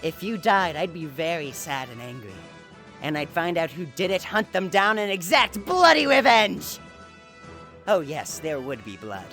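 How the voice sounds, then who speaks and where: A man's voice: fairly high pitch, sing-song, a little slow, sharp tone, faint music behind, full character voice. A man playing a devoted, bloodthirsty avenger with intense, theatrical game-style acting. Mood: menacing devotion.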